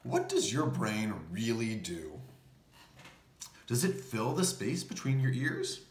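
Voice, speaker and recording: Male read-aloud narrator for a children's picture book: animated pitch, slow explanatory delivery. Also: echoey room. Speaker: storybook reader.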